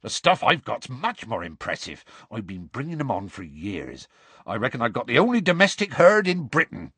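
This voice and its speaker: Man playing a husky, genial, gruff giant. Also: medium pitch, very animated, normal speed, fairly bright tone, no room sound, voice pushed up. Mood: genially dismissive. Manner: folksy, colloquial, breezily reassuring.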